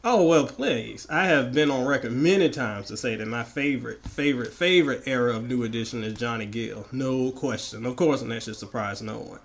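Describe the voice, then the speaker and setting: A man with a slightly raspy voice from the tail end of a flu, bantering emphatically and playfully as an opinionated podcast co-host. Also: mid-range voice, brisk, few pauses. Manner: playful, emphatic, repeats words for effect. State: getting over the flu.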